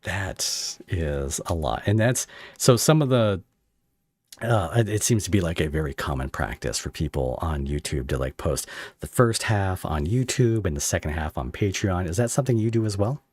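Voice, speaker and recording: Low-voiced man hosting a casual interview. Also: expressive, moderate pace, a little mellow, slightly rough. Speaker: podcast host and interviewer.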